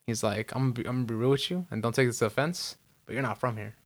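Fast-talking animated young man. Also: medium pitch. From United States. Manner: animated storyteller acting out quotes, swearing freely. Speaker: podcast co-host. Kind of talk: casual chat.

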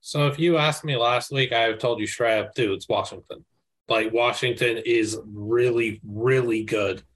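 Male panelist, mid-pitched, excitable and emphatic, casual sports talk. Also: normal speed, breathy edge. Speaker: college football panelist. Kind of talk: casual sports podcast chat.

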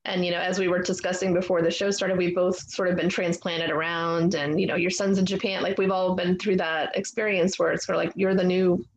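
A woman's voice: fairly low pitch, flat delivery, brisk, few pauses. A woman hosting an interview show in a casual, conversational style, recorded in a roomy space with background noise.

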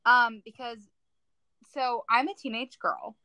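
A woman's voice: fairly high pitch, takes it slowly, sharp tone. A teenage girl co-hosting a podcast, chatting casually and earnestly, a little self-conscious. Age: teens. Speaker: podcast co-host. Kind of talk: casual chat.

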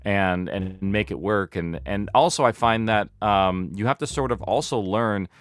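A man talking casually as an interview guest, explaining practical things in a halting, think-aloud way. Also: on the low side, clean tone, no echo, clean studio sound. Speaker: interview guest, audio drama producer.

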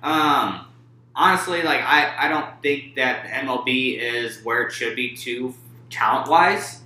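A man co-hosting a casual sports podcast, talking in a halting way with many pauses. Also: mid-range voice, pushed and bright, roomy sound, poor audio. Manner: halting, many fillers and restarts. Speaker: podcast co-host.

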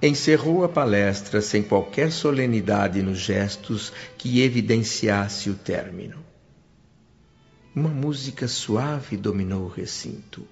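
A man's voice: lowish voice, expressive, vocal fry, full character voice. Reverent male narrator, Brazilian, over music. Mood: reverent wonder. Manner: measured, reverent, formal. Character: André, earnest grateful newcomer man telling his story. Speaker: narrator and protagonist. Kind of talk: dramatized radio serial narration. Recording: dull radio-drama recording with music.

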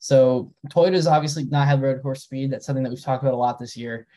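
A young man with a mellow, warm tone co-hosts a racing podcast. He talks loosely and casually, with plenty of "um" and "like". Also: mid-range voice, fast. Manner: relaxed, many fillers like "um" and "like". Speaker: podcast co-host and writer.

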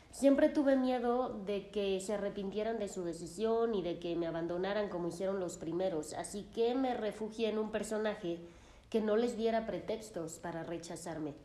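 A woman's voice: mid-range voice, mellow, natural voice. A woman voicing a troubled, self-absorbed adopted young man who speaks in a dramatic, self-pitying and boastful way. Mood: pleading. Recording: roomy echo.

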